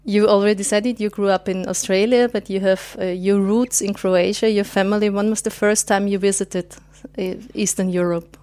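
Woman podcast host, mid-pitched, formal and polite, close dry mic. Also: steady tone, normal speed, darkish tone, no echo. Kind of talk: podcast interview.

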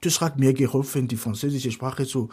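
A man whose first language is French, speaking on a panel in halting sentences with many fillers. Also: medium pitch, fairly even intonation, darkish tone, husky. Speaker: panel guest, language researcher. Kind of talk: panel discussion.

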